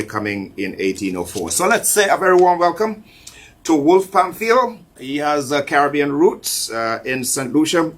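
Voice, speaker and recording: A man hosting a radio talk show from the island, introducing a guest at a measured pace and repeating his key points. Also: medium pitch. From Anguilla.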